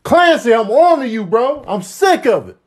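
An indignant, boastful animal, played by a man in a comedy dub. The voice is high for a male and very animated, scolding in sassy slang and firing off rhetorical questions. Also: moderate pace, full character voice. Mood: fed up. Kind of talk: comic improvised animal voice-over.

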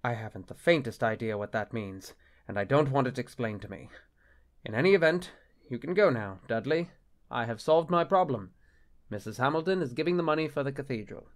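An actor playing a proud, jealous middle-aged bishop in a radio-style drama, curt and indignant. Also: male voice, medium pitch, lively intonation, a little fast, close to an everyday voice. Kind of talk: radio-play style acting.

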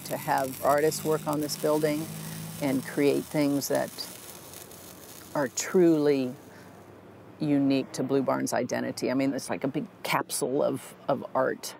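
A woman's voice: extremely low pitch, flat delivery, unhurried, vocal fry, darkish tone, a little hiss or hum. A middle-aged woman, a theater director, talking earnestly in a documentary interview, with a few restarts. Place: theater lobby, indoors.

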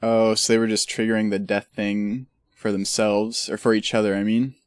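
A man with a lowish voice talks through a live game stream, close and dry at a home desk mic. He rambles in loose, joking asides and chats easily as he plays. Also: flat delivery, normal speed, no echo. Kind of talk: casual chat while gaming.

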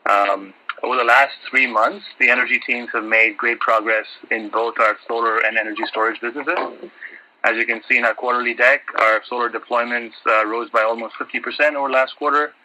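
Man, operations executive, earnings call update, medium pitch, sharp bright voice. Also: fairly even intonation, brisk, low-quality recording. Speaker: company energy operations executive. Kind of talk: semi-scripted earnings call presentation.

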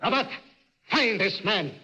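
An actor playing a megalomaniac mad scientist in a vintage radio thriller, theatrical and taunting. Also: male voice, high voice, lively intonation, pushed and bright, husky, heavily acted. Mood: coldly boastful. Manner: theatrical, gloating, deliberate taunting. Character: megalomaniac mad scientist inventor, gloating and menacing. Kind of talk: 1940s radio thriller acting. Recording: muffled, noisy vintage radio recording.

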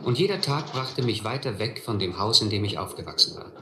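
A man's voice: on the low side, expressive, fairly quick, echoey room, very noisy, lightly acted. An actor playing a grown man who wryly and reflectively narrates his vagabond youth in a German radio play. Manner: wry, reflective.

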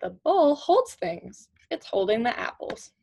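A woman's voice: on the high side, sing-song, a little slow, creaky, a little mellow, slight background noise. A woman in her twenties reading a picture book aloud to children in simple, teacherly phrases with questions. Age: young adult, 20s. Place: small indoor room at home with shelves.

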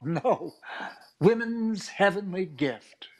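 A man's voice: high-pitched, animated, slow, heavily acted. An older man playing a boastful, vain, girl-chasing pal in a 1940s-style radio comedy. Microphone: webcam-style video call, earphones.

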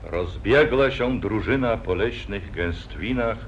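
A man's voice: lowish voice, expressive, slow, a little hiss or hum. A man narrating an old epic in solemn verse, in 1940s radio acting style. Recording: dull lo-fi 1948 radio recording.

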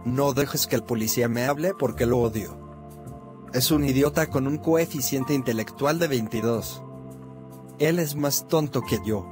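A man voicing a bratty bald cartoon boy who mocks grown-ups, with a flat, sneering delivery over music. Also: mid-range voice, unhurried, lightly acted. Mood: smug. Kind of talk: fan-made cartoon dub.

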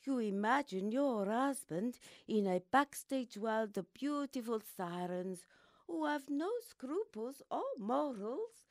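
An actress playing a glamorous, worldly famous actress in a radio play, theatrical, knowing and gently patronising. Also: female voice, a little high, lively intonation, takes it slowly, no echo. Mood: worldly, persuasive.

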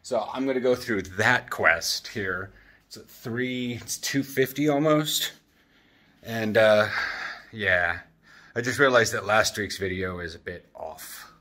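A man's voice: lowish voice, takes it slowly, sharp tone. A man in his thirties rambling casually with jokey asides, as in a vlog, recorded at arm's length in an apartment. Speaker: vlogger. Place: apartment living room and open kitchen. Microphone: handheld camera at arm's length.

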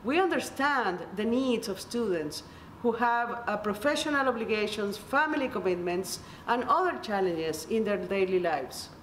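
A woman's voice: medium pitch, animated, normal speed. A middle-aged woman from Venezuela, a native Spanish speaker with a Venezuelan accent, giving a scripted welcome address as an associate dean in an office. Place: indoor office by large windows. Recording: echoey room with slight background noise.

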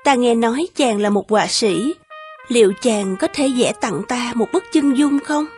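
A woman voicing a gentle young woman in love in an animated folktale, deferential and yearning. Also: a little high, moderate pace, faint music behind, lightly acted. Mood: gentle, attentive.

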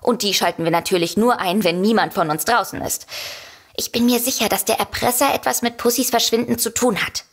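A woman's voice: fairly high pitch, brisk, breathy edge. An actress playing a composed, sensible but worried woman novelist, explaining things earnestly in an audio drama. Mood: worried, indignant.

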